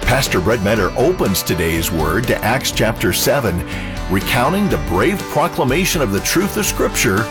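A man reading a scripted radio program introduction in a measured, expressive way. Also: mid-range voice. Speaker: program announcer. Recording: announcer voice over clear music bed.

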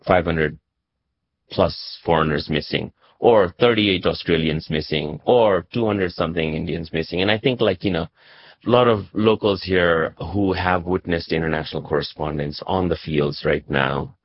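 A man with a very low, somewhat husky voice talks as a journalist being interviewed. He is from Nepal and explains his views reflectively, often adding "right?" and "you know". The recording is a little dull and muffled. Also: moderate pace. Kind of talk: conversational interview commentary.

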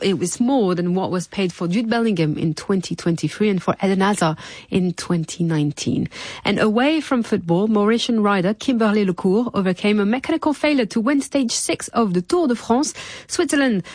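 A woman presents a scripted sports bulletin. Her voice sits at an average pitch, and the sound around it is dry. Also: darkish tone, no room sound. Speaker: sports newsreader.

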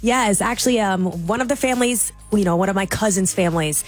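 A woman co-hosting a radio show in casual, slangy, animated banter. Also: medium pitch, brisk. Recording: music bed behind voice.

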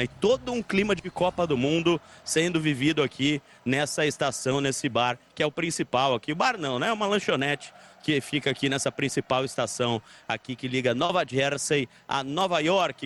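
A man's voice: a little high, fairly bright tone, slight background noise. A Brazilian man reporting live and informally on location, chatty, repetitive and rambling. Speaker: field reporter. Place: inside a station snack bar. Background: faint music.

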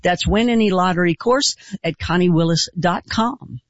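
A woman's voice: fairly low pitch, normal speed, very noisy. A woman with an American accent hosting a casual talk radio interview, chatty and effusive. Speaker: radio show host. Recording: slightly dull radio broadcast audio.

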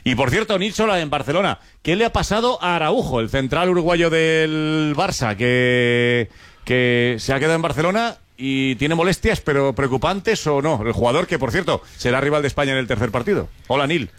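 A man's voice: on the high side, lively intonation, fairly bright tone, no room sound. A man hosting a sports radio show, joking with his panel and handing off to reporters. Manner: brisk, joking, hands off to reporters. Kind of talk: casual sports radio chat.

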